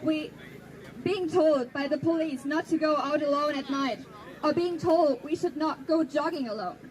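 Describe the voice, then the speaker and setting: High-pitched woman giving a speech. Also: a little mellow, a faint crowd, rough recording. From Germany. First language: German. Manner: earnest, pointed, slows down for emphasis. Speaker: activist speaker. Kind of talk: prepared speech to an audience.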